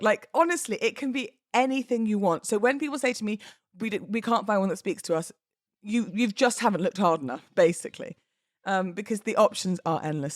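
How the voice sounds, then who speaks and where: A British woman in her thirties talks in an informal, expressive podcast style. Her pitch sits in the middle of the female range. She chats freely with casual British slang, and the recording is dry, with no room sound around her. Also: dry recording. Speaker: podcast host and wedding celebrant. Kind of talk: casual solo podcast chat.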